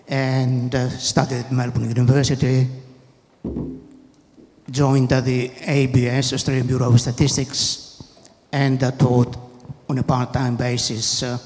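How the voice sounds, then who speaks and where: An older man, briefly introducing himself on a panel, halting with fillers. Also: medium pitch, darkish tone. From Greece. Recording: echoey hall.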